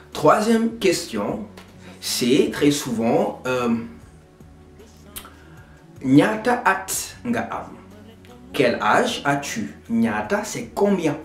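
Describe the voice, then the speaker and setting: A man teaches slowly and patiently, spelling each word out letter by letter. His pitch swings widely as he stresses words. He is recorded in an echoey room with faint music behind him. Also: big pitch swings. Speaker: language teacher. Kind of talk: Wolof lesson in French.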